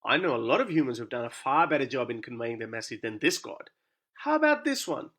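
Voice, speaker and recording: A man's voice: mid-range voice, very animated, fairly quick, fairly bright tone. A man whose first language is Urdu, delivering a scripted, argumentative monologue full of sarcastic rhetorical questions. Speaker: YouTube commentator.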